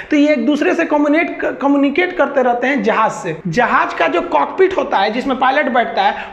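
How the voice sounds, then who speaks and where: A woman with a Bihari accent giving an informal explainer lecture, colloquial and repeating points with everyday examples. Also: high voice. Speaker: teacher. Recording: echoey, dull lo-fi audio.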